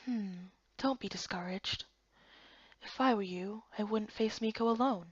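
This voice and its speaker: Woman as a hushed, reserved man, dry recording. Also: mid-range voice, slightly rough, no echo, dull audio. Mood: calm, guarded. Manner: hushed, measured. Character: calm, soft-spoken, reserved man in a fantasy game.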